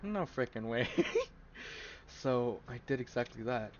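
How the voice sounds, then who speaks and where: A man's voice: medium pitch, animated, a little slow. Man reading a game aloud, very noisy mic. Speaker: amateur gamer voicing a visual novel. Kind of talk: game read-aloud with casual commentary. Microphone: poor microphone. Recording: muffled lo-fi mic with loud noise.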